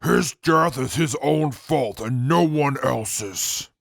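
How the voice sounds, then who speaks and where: Haughty, scornful convict played by a man, extremely gravelly, slow and formal, for a wasteland audio drama. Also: mid-range voice, no room sound, clean studio sound. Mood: scornful, blunt. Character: stern, haughty male prisoner, proud and scornful. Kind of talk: post-apocalyptic audio drama acting.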